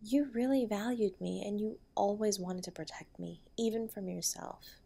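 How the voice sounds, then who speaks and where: A woman's voice: medium pitch, normal speed, vocal fry, warm tone. A woman playing a tender, protective wife in an intimate audio roleplay, soothing and affectionate as she comforts her husband. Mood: gentle reassurance.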